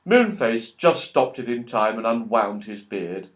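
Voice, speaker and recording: A man reading a children's story aloud as an audiobook narrator, expressive and animated. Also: mid-range voice, unhurried. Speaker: audiobook reader.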